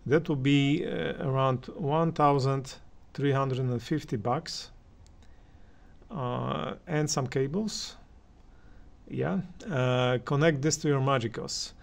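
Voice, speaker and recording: A man giving unscripted hi-fi commentary as a reviewer, speaking haltingly with many pauses. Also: mid-range voice, creaky. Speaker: audio reviewer and channel host. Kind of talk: unscripted opinion commentary on hi-fi gear.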